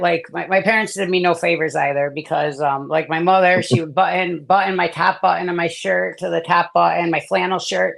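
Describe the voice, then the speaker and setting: Gravelly-voiced man sharing a rambling testimony. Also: a little high, fairly bright tone. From born in South Korea, raised in the US. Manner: rambling, many fillers and restarts. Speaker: guest. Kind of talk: casual testimony on a live stream.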